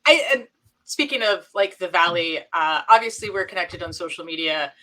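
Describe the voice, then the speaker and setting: A woman with a bright, crisp voice hosts a casual interview. She asks friendly, loosely phrased questions, trailing into fillers as she goes. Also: lowish voice, lively intonation, normal speed, rough recording. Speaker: interview host.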